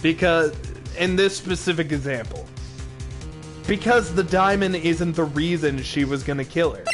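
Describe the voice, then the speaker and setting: A man's voice: on the high side, moderate pace, faint music behind. A young man hosting a casual let's-play, thinking aloud, joking and reading game text. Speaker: podcast host playing a game.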